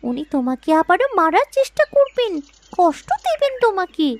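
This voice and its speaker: Little talking bird for a kids cartoon, super high, worried, pleading, sing-song swoops. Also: female voice, big pitch swings, normal speed. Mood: earnest warning. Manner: urgent, pleading. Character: small talking myna bird, loyal, anxious protector of prince. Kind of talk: cartoon fairy-tale voice acting.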